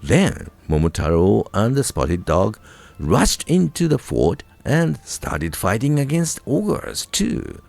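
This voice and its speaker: Slow, expressive Japanese-accented male storyteller. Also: fairly low pitch, gravelly, no room sound. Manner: deliberate, expressive storybook delivery with dramatic emphasis. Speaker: storyteller, radio voice crew narrator. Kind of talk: scripted folk tale narration for listeners.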